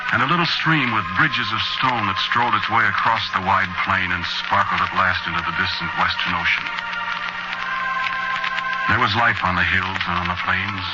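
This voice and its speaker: An actor playing a mournful, reflective last survivor of a dead world, speaking solemnly and poetically in a 1940s radio drama monologue. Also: male voice, low-pitched, close to an everyday voice. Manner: solemn, poetic, measured delivery. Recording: noisy, muffled 1940s radio broadcast.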